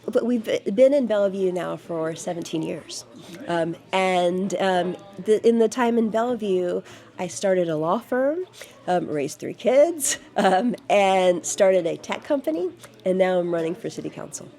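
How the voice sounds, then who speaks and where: A woman's voice: medium pitch, lively intonation, unhurried, dark tone. A woman in her fifties from the United States answering interview questions as a city council candidate, earnest, with frequent fillers and restarts. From United States, grew up in Georgia.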